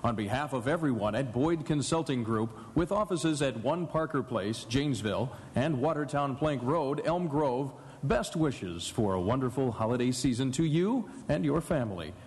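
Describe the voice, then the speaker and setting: A man's voice: mid-range voice, animated, moderate pace, darkish tone. A man reading a scripted sponsor message as an announcer for a live radio broadcast. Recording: echoey hall live broadcast.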